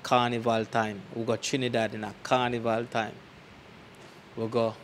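A man's voice: mid-range voice, flat delivery, moderate pace. Jamaican man storytelling over background noise. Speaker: interview guest, dancer recalling tours. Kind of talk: casual anecdotal storytelling in patois. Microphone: handheld microphone. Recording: clear background noise and ambience.

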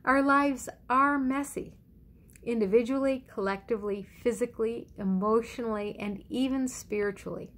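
A middle-aged woman pastor giving a conversational sermon at home in a measured, reflective way with deliberate pauses. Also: on the high side. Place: indoors in a living room at home. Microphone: phone or webcam at arm's length.

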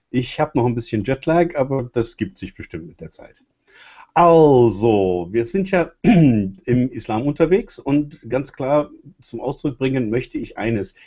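Husky, mellow-voiced man chatting loosely. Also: mid-range voice. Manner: loose, chatty, with fillers and restarts. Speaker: co-host. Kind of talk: casual spoken commentary. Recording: dull, lo-fi sound.

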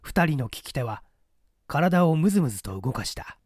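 A male audiobook narrator reads a story in a grave, deliberate and dramatic way. His voice sits slightly high and moves through a wide range of pitch. The recording is very dry. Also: sing-song, husky, no room sound. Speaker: audiobook reader, stage actor. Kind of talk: dramatic scripted literary narration.

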